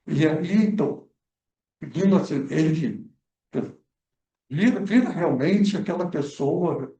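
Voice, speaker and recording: Guy, researcher at a film debate, mellow low-key voice, lots of "é" and restarts, echoey room. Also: expressive, a little slow. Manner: hesitant, many fillers and restarts. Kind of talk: explaining history in a post-screening debate. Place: event room at a cultural center. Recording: echoey room, speaker far from mic.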